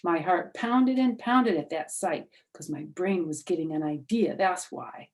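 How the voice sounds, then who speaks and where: A woman's voice: on the low side, a little slow. Mature woman reading a children's storybook. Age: middle-aged or older. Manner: animated emphasis, chatty asides to young listeners. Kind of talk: expressive children's book read-aloud.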